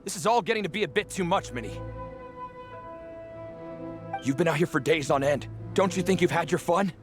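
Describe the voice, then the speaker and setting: A man's voice: fairly high pitch, moderate pace, lightly acted. A man acting as a protective, strict but polite middle-aged father in a video game, speaking in a fussy, formal way. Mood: stern worry. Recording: background music under the dialogue.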